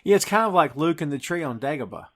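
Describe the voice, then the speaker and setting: A man's voice: a little high, expressive, fast, fairly bright tone. A man hosting a podcast, retelling a film scene by scene in an enthusiastic, emphatic conversational way. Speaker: podcast host.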